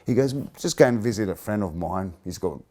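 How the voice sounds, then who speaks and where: A Greek-born man in his fifties with a Greek accent, reminiscing in a casual interview with halting restarts. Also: lowish voice, expressive, mellow. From Greece. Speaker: interviewee, blues musician.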